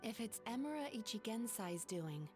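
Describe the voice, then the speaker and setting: An actress playing a calm, analytical young woman who can enter people's dreams, explaining things in a composed way. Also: a little high, moderate pace, soft background music. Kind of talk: game dialogue.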